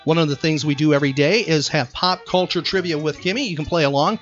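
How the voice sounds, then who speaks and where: A man's voice: on the high side, quick pace, fairly bright tone, soft background music. A man hosting a casual trivia show in an upbeat, playful way. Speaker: radio show host. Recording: muffled lo-fi audio.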